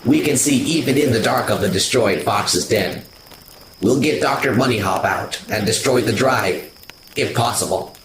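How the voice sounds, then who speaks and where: A man's voice: medium pitch, normal speed, natural voice. Man as an echoey, obedient robot scout. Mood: dutiful. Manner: formal, dutiful. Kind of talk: science-fiction audio drama acting. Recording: echoey, noisy ambience.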